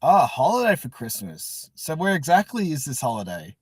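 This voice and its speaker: An Australian man hosting a podcast in casual interview chat, halting and repeating words as he goes. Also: fairly high pitch, huge pitch swings, breathy edge, no room sound, clean studio sound. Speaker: podcast host.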